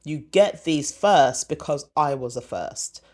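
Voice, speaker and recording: A woman, born in Ghana, a former TV comedy commissioner answering as a guest, emphatic and animated. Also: deep voice, slow pace. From born in Ghana, raised in West London. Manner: emphatic and animated, acts out quotes. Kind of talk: conversational interview answer.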